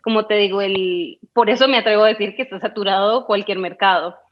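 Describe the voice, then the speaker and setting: Slightly dull, lo-fi audio carries a woman's medium-pitched voice. She talks casually as a podcast guest, reasoning out loud and posing questions in a reflective manner. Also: a little fast. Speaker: podcast guest asking for advice. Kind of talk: casual conversation.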